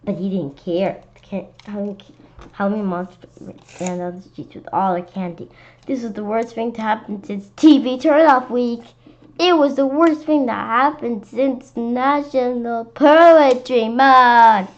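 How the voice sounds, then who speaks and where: A woman reading a children's story aloud in an expressive, animated way, occasionally stumbling over words. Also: on the high side, a little slow. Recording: dull lo-fi sound with clear background noise.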